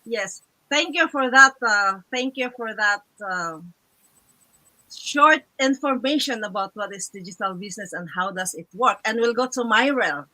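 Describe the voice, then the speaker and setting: A woman's voice: on the high side, lively intonation, normal speed, pushed and bright, low-quality recording. A woman hosting an online panel, introducing guests in a formal way and calling them sis. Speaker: host.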